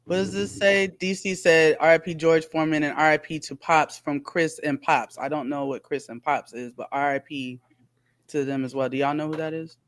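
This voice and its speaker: A woman's voice: deep voice, fairly even intonation, moderate pace, dry recording. A woman in her forties co-hosting a casual podcast chat, who laughs often and reads out viewer comments. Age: 40s. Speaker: podcast co-host.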